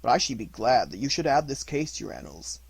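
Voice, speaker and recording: A man voicing a self-assured, mischievous Victorian gentleman detective in an audiobook, with measured, formal phrasing. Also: medium pitch, sing-song, vocal fry, husky, no echo, full character voice. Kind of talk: audiobook character reading.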